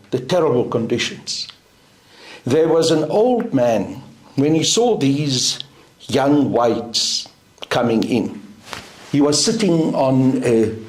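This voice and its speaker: A middle-aged South African man with an Afrikaans first language speaks slowly and deliberately, leaning on each point. His voice is mid-pitched and recorded in a roomy, echoing space. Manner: deliberate, emphatic, measured. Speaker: guest. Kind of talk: interview answer. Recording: roomy echo.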